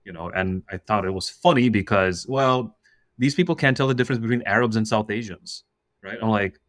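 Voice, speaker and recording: A man in his forties from Russia chats as a podcast guest, his voice sitting fairly low and close to the mic in a dry, dead room. He tells stories with a comedian's energy. He laughs mid-sentence and slips into quick voices for the people he quotes. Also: fairly bright tone, dry recording. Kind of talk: casual podcast conversation.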